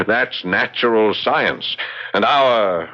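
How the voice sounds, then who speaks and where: A calm colonial gentleman sleuth in an old radio play. His voice is low and unruffled, laying out his reasoning in measured phrases. The recording is somewhat muffled. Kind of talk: old-time radio drama acting. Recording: dull vintage radio recording.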